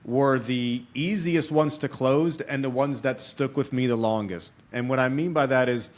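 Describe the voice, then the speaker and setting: A man's voice: medium pitch, normal speed. A man hosting a podcast interview, explaining things conversationally and often checking in with his listener. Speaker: podcast host and experienced salesman.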